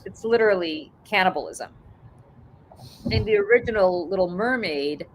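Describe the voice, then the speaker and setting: A woman's voice: mid-range voice, lively intonation, normal speed, a little hiss or hum, rough recording. A woman in her forties, originally from Russia, chatting casually as a podcast host and laughing through her stories. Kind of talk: casual chat.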